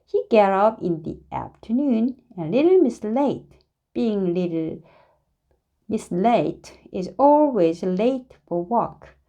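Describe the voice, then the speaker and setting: A woman reads a storybook aloud to young listeners. Her voice is soft-edged and mellow, with very animated pitch. She takes her time, shaping each phrase deliberately and dramatically. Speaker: storybook reader. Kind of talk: expressive read-aloud of a children's story.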